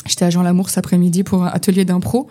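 A woman's voice: lowish voice, level tone, racing, warm tone. A young woman from the Vosges in France, a podcast guest talking casually about acting, with lots of fillers and restarts. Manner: chatty, many restarts and fillers. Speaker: podcast guest, actress and poet. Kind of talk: casual chat. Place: informal gathering over beer.

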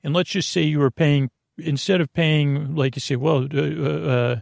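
A man with a creaky, gravelly voice hosting a sleep podcast, rambling through an improvised, lulling bedtime monologue full of hesitant digressions. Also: on the high side, fairly even intonation, moderate pace, a little mellow, dry recording, clean studio sound. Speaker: podcast host.

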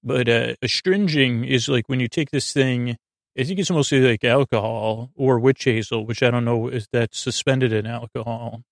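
A man hosting a sleep podcast, rambling in a meandering, stammering monologue with frequent digressions and a gravelly, creaky voice. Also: mid-range voice, moderate pace, no room sound, clean studio sound. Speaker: podcast host.

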